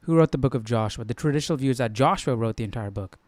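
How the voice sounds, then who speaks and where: A man's voice: mid-range voice, racing, darkish tone, dry recording. A man hosting a live study stream, giving casual, slangy, jokey commentary as he muses aloud.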